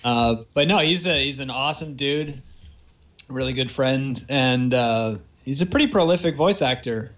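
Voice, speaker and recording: A man from Canada co-hosts a casual podcast. He is a voice actor and talks at an ordinary mid pitch, explaining things at length and laughing easily. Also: normal speed, a bit bright, muffled recording. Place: Vancouver.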